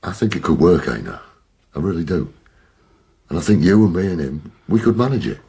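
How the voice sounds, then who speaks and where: An actor playing a blunt, sardonic middle-aged police detective with a gravelly, husky voice, in naturalistic radio drama style. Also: male voice, low-pitched, big pitch swings, fairly quick, warm tone, exaggerated voice. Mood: urgent, persuading. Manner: dry, blunt, colloquial.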